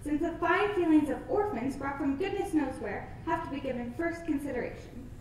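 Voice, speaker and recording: A woman's voice: high-pitched, lively intonation, a little fast, darkish tone, a little hiss or hum. An actress playing a tactless, opinionated older neighbor woman who has raised ten children. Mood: huffy. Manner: tactless, opinionated and self-righteous. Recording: echoey, from a distance.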